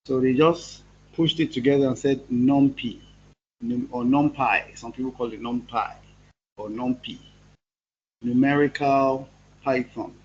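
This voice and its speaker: A man's voice: medium pitch, fairly even intonation, measured pace, darkish tone, muffled recording, slight background noise. A male programming instructor with a cold, teaching a live online class and patiently spelling out terms step by step. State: has a cold.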